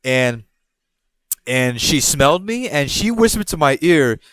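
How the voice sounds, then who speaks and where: A young man from Puerto Rico tells a long story in a loose, rambling way, stopping and restarting and slipping into quoted voices. His voice sits a bit high for a man. It is recorded close to the mic, with a dry sound. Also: sing-song, a little slow, a bit bright, no room sound. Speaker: podcast host. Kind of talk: casual storytelling.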